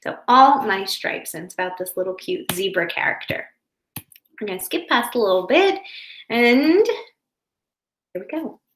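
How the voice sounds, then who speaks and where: A woman talking to young children in a gentle, reassuring way, explaining things simply in a chatty style. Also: medium pitch, expressive, a little slow, long pauses. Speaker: school educator reading stories to children online. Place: home office indoors. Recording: roomy, echoey home office.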